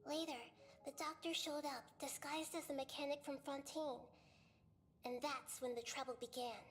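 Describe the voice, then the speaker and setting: A woman voicing a gentle, wise female goddess in a video game, telling the story in a calm, measured way. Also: sits high. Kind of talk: video game voice acting, narration.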